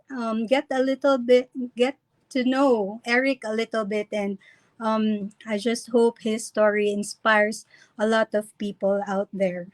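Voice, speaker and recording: Woman host, fairly high voice, unhurried, halting with fillers. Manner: warm welcoming, halting with fillers, nervous at first. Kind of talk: live online interview.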